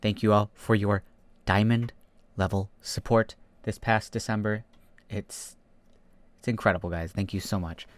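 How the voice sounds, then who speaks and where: A man's voice: fairly low pitch, measured pace, long pauses, dry recording. A man hosting a YouTube video, speaking in an informal, self-deprecating way as he narrates and chats. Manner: informal, self-deprecating, animated when reading. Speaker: YouTube host reading fan fiction. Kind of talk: casual YouTube narration and chat.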